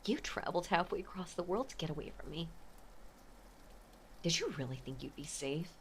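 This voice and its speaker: A woman playing an obsessive, possessive mafia heiress ex-girlfriend in a dramatic audio roleplay, with intense, menacing, theatrical delivery and a breathy voice. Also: fairly low pitch, lively intonation, brisk, slight background noise. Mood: angry, possessive. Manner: intense, menacing, theatrical, swinging between hush and outburst. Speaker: voice actor.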